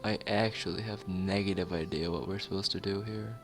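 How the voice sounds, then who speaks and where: A man with a lowish voice streams a story game live. He reads the text aloud and breaks off for joking, mock-formal commentary. Faint plucked guitar music plays behind him. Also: fairly even intonation, a little fast. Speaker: streamer playing a visual novel.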